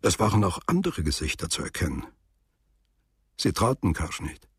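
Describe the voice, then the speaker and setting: An actor playing a sober, matter-of-fact male narrator in a literary radio play, speaking in a measured way. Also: deep voice, big pitch swings, slightly rough, no echo, clean studio sound, heavily acted. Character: sober male narrator recounting a traveller's return.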